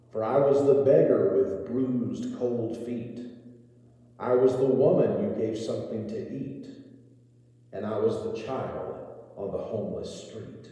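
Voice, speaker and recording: A man voicing the Lord in a storybook poem, gentle, solemn, tender and deliberate. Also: lowish voice. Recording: echoey church hall with long reverb.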